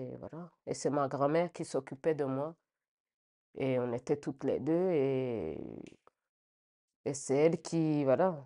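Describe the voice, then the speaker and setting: A middle-aged woman from Rwanda giving a spontaneous personal testimony, reflective and halting, often repeating words as she searches for phrasing. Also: sits low, mellow.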